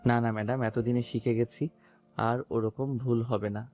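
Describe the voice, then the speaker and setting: A man's voice: medium pitch, level tone, normal speed, a little mellow, soft background music, close to an everyday voice. A man playing a weary, meek young office tea boy in an audio story drama, downcast and resigned. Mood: meek, apologetic.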